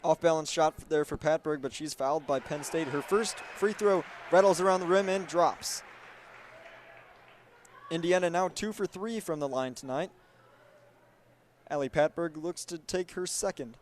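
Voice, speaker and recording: A man in his twenties calls basketball as a radio play-by-play commentator. His voice sits high for a man, and there is faint noise from the gym behind him. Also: normal speed. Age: 20s. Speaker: student sports broadcaster and reporter. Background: basketball game ambience.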